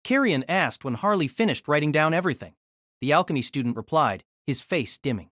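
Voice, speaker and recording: An audio drama narrator who reads a novel with lively storytelling. The voice rises and falls expressively and sounds dry and close to the mic. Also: medium pitch, fairly quick, no echo.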